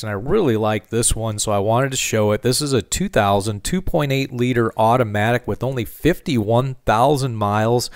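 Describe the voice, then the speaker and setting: A man hosting a car channel, talking casually over a market review with relaxed fillers. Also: mid-range voice, clear, resonant tone, dry recording, studio quality. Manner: relaxed, conversational, frequent "uh" fillers.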